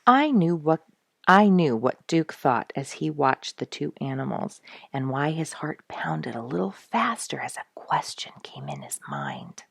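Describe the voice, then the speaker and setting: A woman reading a story aloud to children as a storyteller, expressively and with hushed emphasis. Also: sits low, sing-song, measured pace, vocal fry, a little hiss or hum.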